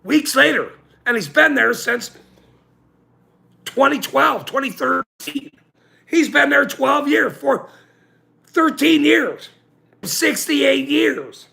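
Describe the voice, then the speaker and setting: Gravelly ranting man in his forties. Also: extremely high pitch, lively intonation, takes it slowly, pauses often. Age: 40s. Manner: angry, profane, with loud outbursts and self-mocking laughs. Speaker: channel host. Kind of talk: livestream rant.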